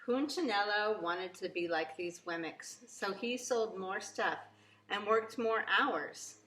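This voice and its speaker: Bright-voiced woman reading a children's storybook, echoey room. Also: mid-range voice, slow pace. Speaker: preschool teacher reading aloud. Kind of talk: expressive children's storybook read-aloud. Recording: echoey room, faint background noise.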